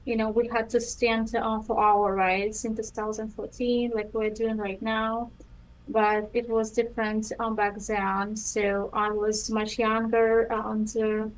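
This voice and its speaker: A woman's voice: a little high, level tone, a little slow, poor audio. A woman in her thirties from Ukraine with a Ukrainian accent, whose first language is Russian, answering interview questions hesitantly with many ums and restarts. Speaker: guest.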